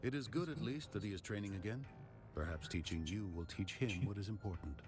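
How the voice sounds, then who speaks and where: Game role: stern male swordmaster mentor, played by an actor, deep register, formal and measured, clear music bed. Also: close to an everyday voice. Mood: wary, measured. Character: stern, measured swordmaster teaching weapon forging. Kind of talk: video game dialogue acting. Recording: music playing behind the voice.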